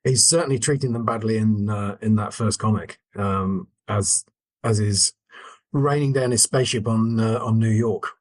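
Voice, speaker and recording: A man's voice: lowish voice, moderate pace, no echo. A man chatting casually as a podcast co-host, with a dry, slightly hesitant manner. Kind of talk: casual chat.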